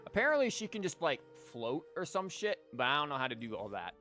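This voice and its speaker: A man's voice: on the high side, sing-song, fast talker, sharp tone, faint music behind. A young man hosting a gaming livestream, chatting casually and rambling with plenty of fillers as he wraps up. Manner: rambling, lots of fillers and restarts. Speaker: livestream host. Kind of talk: casual gaming stream wrap-up.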